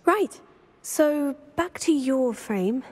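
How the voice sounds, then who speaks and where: Game lead: courteous, level-headed schoolgirl adventurer, slightly high voice. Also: animated, drawn-out words, a little mellow, heavily acted. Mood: concerned. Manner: courteous, composed. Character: polite, helpful teenage girl student, calm adventurer. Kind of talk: scripted game acting.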